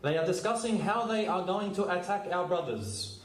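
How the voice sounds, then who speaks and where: A man's voice: high-pitched, lively intonation, moderate pace, roomy sound, slight background noise. A man preaching a sermon in a formal, solemn manner.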